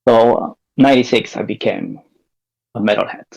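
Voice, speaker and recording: A man's voice: lowish voice, normal speed, gravelly, a little mellow, breathy edge, poor audio. A man in his thirties from Peru, chatting loosely as a podcast guest, rambling and swearing with easy laughs. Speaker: guest band member. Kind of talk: casual podcast chat.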